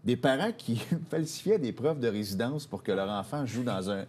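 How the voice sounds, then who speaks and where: A man's voice: medium pitch, expressive, moderate pace, darkish tone, slight background noise. A man with a Quebec French accent, co-hosting a sports show with casual, familiar and emphatic chat. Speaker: sports show co-host, former hockey coach.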